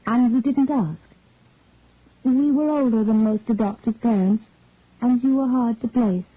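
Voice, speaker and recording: A woman reading the part of a reserved, formal older adoptive mother, speaking in a measured way. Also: on the high side, breathy edge, pitched above the performer's own voice. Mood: earnest. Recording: dry, tinny phone-like sound.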